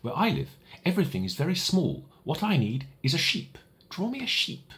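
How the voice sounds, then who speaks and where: A man voicing a small, earnest boy from a tiny world who asks for things gravely and patiently. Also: wildly swooping pitch, normal speed, full character voice. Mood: insistent. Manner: high, soft, patient and serious. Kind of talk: audiobook character voice.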